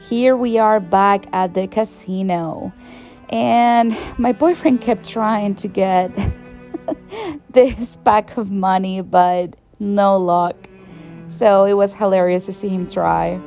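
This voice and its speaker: A woman's voice: mid-range voice, takes it slowly, a little mellow, soft background music. A young woman from Venezuela narrating her travel vlog in a chatty, enthusiastic way, laughing often. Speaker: travel vlogger. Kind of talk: casual travel vlog voice-over.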